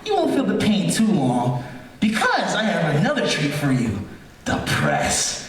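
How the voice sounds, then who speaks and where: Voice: medium pitch, wildly swooping pitch, unhurried. A stage performer playing a scheming, vengeful murderer in an old-time radio drama, theatrical and gloating, in an echoey theater. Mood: gloating menace. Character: scheming vengeful murderer, gleefully sadistic villain with poor eyesight. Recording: echoey theater, distant mic.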